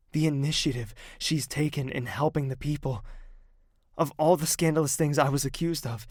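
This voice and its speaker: Young king for audio drama, male actor: grieving and introspective, slow gravelly delivery, close dry sound. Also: mid-range voice, no room sound, natural voice. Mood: soft, admiring. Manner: hushed, weary, measured delivery with sighs. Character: grieving young prince newly become king, weary and introspective. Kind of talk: dramatic first-person narration and dialogue in audio drama.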